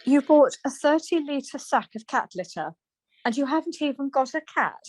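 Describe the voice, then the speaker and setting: A woman playing a brisk, plain-spoken, chatty wife in a radio play, chiding and questioning. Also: sits high, animated, darkish tone. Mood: incredulous.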